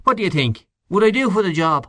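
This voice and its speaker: A man's voice: high-pitched, big pitch swings, fast talker, fairly bright tone, dry recording, clean studio sound, pitched above the performer's own voice. A man voicing a boastful, jovial middle-aged male political canvasser who talks in a brash, teasing way. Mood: joking.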